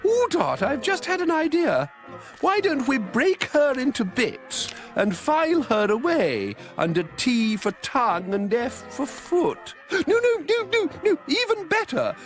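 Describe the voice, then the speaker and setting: Guy voicing a high excitable creepy-sweet librarian woman, bouncy. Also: unhurried, faint music behind, heavily acted. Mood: gushing. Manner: fluttery, gushing, excitable. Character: sweet but sinister fluttery woman librarian.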